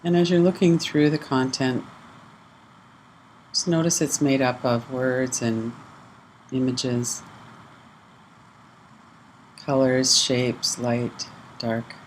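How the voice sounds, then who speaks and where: A meditation teacher gives slow mindfulness instructions in short, measured phrases. The voice stays very even in pitch, with pauses between thoughts. A faint steady hum sits behind it. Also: low-quality recording.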